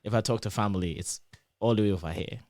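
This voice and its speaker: Trinidadian man, low voice, dry sound. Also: fast talker, no echo. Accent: Trinidadian shifting toward American. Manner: animated, explains with examples, many fillers. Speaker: interview guest, PhD student. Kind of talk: casual explanatory conversation.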